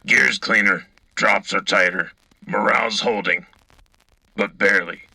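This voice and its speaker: A man playing a gruff, weary military radio correspondent, speaking in a terse, grim, dry way with a raspy voice. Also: fairly low pitch, fairly even intonation, measured pace, pushed and bright, no echo, full character voice, poor audio. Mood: wary. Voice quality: gravelly, raspy. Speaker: radio co-host. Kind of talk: wartime radio news acting.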